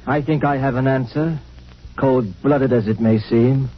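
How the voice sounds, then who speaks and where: Male spaceship crewman, played by an actor, cold and moralizing, husky voice, stern fifties radio acting, muffled sound. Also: mid-range voice, measured pace, natural voice. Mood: cold, resolute. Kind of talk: 1950s radio drama acting. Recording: muffled old radio recording.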